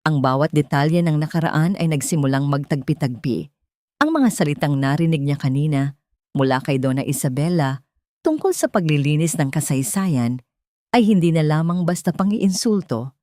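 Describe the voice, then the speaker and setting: A woman's voice: low voice, normal speed, mellow, no room sound. A woman reading a scripted dramatic story as an audiobook narrator.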